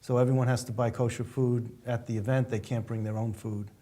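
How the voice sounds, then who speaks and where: A male talk show host with a mellow, warm tone asks his guests quick, curious questions. He keeps the chat moving in a casual interview style. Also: mid-range voice, little pitch movement.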